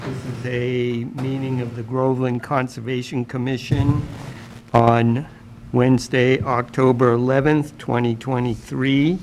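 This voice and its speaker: A man chairing a town commission meeting, speaking slowly with many pauses and fillers. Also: medium pitch, level tone, background noise. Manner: slow, hesitant with many fillers. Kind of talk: public meeting.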